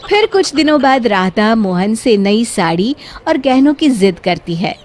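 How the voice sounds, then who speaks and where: High-voiced woman storyteller. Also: sing-song, measured pace. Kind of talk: scripted moral-story narration for children.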